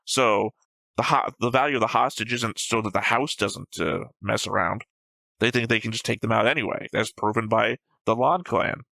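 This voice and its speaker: Creaky low-voiced man, close and dry. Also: moderate pace, pushed and bright, dry recording. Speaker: co-host of an anime reaction show. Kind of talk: casual discussion of episode notes.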